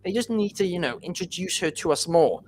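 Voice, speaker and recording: A man's voice: high voice, expressive, brisk, slight background noise. A man from Wales, hosting a casual podcast and giving his opinions after reading out viewer questions. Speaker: podcast host.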